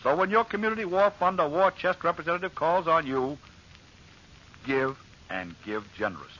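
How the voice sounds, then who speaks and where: A man's voice: on the high side, expressive, fairly quick, pushed and bright, a little hiss or hum. A man reading an earnest, formal 1940s radio public-service appeal, on a muffled old recording. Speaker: radio director making a charity appeal.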